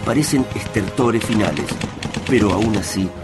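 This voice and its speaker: A man's voice: fairly low pitch, animated, normal speed, natural voice. A man delivering solemn, literary narration for a radio drama. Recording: loud music and gunfire behind.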